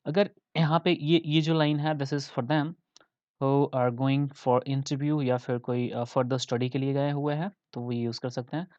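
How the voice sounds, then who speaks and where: A young man with an Indian accent gives an English lesson, mixing in Hindi. He sounds chatty and mid-pitched, often checking in with the listeners. The recording is dry and slightly muffled. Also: level tone, unhurried, darkish tone, clear, resonant tone, no echo. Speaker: English teacher.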